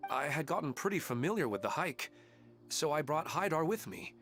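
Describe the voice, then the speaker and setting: A man's voice: on the high side, very animated, moderate pace, fairly bright tone, faint music behind. A man voicing a devoted, friendly husband and father in an anime game, speaking earnestly. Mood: apologetic.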